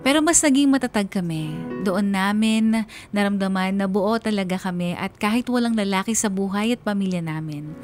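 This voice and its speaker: A woman's voice: medium pitch, normal speed, faint music behind, natural voice. A woman playing a regretful, resilient mother who narrates her life story on radio in an earnest, confessional way. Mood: regretful, reflective. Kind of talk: dramatic first-person radio narration.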